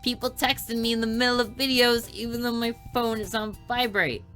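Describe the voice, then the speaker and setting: A young man hosts a gaming video in a bright voice. He gives casual commentary and rambles into joking asides. Music plays quietly behind him. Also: very high-pitched, level tone, moderate pace.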